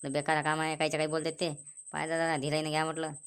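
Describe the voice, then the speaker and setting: Lady doing a very deep, super fast nagging villager, cartoon, skeptical, vidarbha marathi accent. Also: monotone, smooth. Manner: chattering, admonishing. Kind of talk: comic cartoon dialogue.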